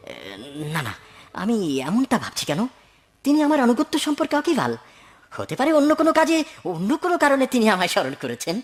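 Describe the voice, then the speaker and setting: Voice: medium pitch, wildly swooping pitch, fast. An actor's cartoon performance of a vain, scheming rich man who is boastful and fawning, with theatrical swoops from mutters to cries. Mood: anxious muttering. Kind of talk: dramatic Bengali cartoon voice acting.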